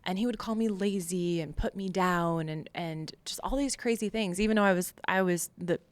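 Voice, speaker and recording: Low-voiced American woman telling her story. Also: moderate pace, clean tone, dry recording. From Long Island, New York, USA. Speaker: podcast guest, military veteran. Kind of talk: candid personal storytelling in an interview.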